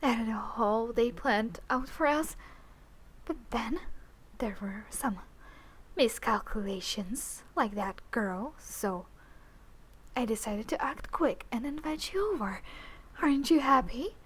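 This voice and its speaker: A woman playing a possessive, obsessive young woman in love in an intimate roleplay audio, slipping between breathy half-whispers and intense swells. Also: fairly high pitch, lively intonation, takes it slowly, fairly bright tone, heavily acted, rough recording. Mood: menacing, eager. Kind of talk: intimate roleplay audio acting, spoken to the listener.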